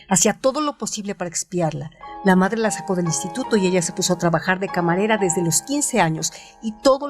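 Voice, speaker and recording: A woman reading a novel aloud as narrator, in a measured, expressive style. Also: medium pitch. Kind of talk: scripted audiobook narration.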